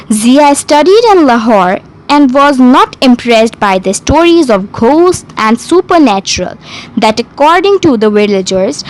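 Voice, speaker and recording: Woman, high voice, lively rise and fall, unhurried pace, deliberate bedtime story narration. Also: poor audio.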